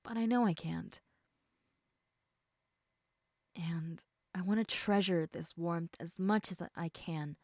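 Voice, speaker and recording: Casting: actress as caring half-snake lamia for intimate roleplay audio; soft, hesitant, unhurried delivery, very dry close sound. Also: female voice, medium pitch, no room sound. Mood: tender, worried. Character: gentle, protective, indecisive female lamia, half snake.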